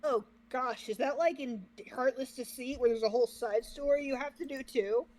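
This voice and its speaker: A woman's voice: sits high, moderate pace, darkish tone. A woman co-hosting a reaction livestream, chatting casually with the viewers. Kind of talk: casual chat with stream viewers.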